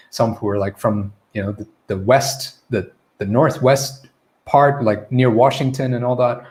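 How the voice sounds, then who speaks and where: A man at an ordinary middle pitch talks viewers through a live English lesson. His delivery is casual and chatty. He often stops to correct himself mid-sentence. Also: a little mellow. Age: adult, former teacher. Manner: chatty, self-correcting, loose and laughing. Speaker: English pronunciation coach.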